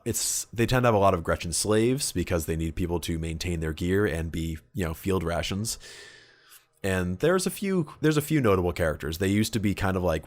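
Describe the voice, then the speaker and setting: A man co-hosting a podcast, chatting casually and enthusiastically in a geeky, conversational way. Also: low voice. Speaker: podcast co-host. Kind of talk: casual hobby podcast chat.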